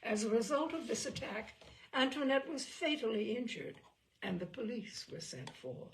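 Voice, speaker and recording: A woman's voice: a little high, animated, moderate pace, a little hiss or hum, rough recording. A woman reading a story aloud with dry, wry storytelling. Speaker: reader of stories.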